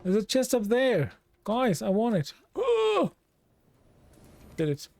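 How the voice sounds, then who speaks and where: A man's voice: extremely high pitch, wildly swooping pitch, takes it slowly, vocal fry, a little mellow, no room sound. A man with a Norwegian accent giving chatty let's play commentary, reacting aloud and talking to his chat. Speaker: streamer.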